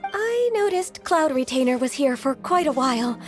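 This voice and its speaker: An actress voicing a gentle, shy young female secretary who speaks softly and politely, with hesitant fillers. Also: high-pitched, very animated, moderate pace, faint music behind. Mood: timid.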